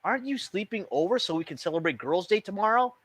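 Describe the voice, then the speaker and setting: A man's voice: sits high, animated, fast, a bit bright, husky, dry recording, pitched above the performer's own voice, heavily acted. A man voicing a caring but firm mother in a children's storybook. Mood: concerned.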